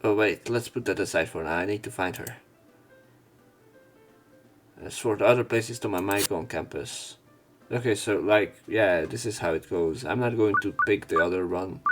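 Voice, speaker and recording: A man with a fairly low voice narrates a visual novel let's play. He moves between reading the text aloud and casual commentary in an everyday voice, with faint music playing behind him. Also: moderate pace. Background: game music.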